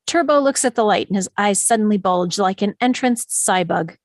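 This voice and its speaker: A woman narrator reads out scene directions from a script. Her voice sits at a middle pitch, dramatically engaged, and the sound is dry with no room around it. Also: lively intonation, moderate pace, no echo. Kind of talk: scripted narration at a table read.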